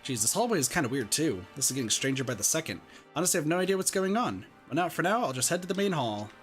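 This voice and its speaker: A man's voice: fairly high pitch, very animated, quick pace, a bit bright, soft background music. A man reading a visual novel as its bewildered, earnest teenage schoolboy hero. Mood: bewildered, uneasy. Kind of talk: reading game dialogue in character.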